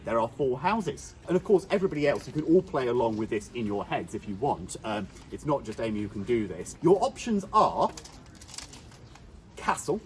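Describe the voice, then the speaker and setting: A man's voice: mid-range voice, a little mellow. A man working as a tour guide outdoors, leading the group in a playful, theatrical way. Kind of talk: guided walking tour patter. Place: outdoors in a foggy graveyard.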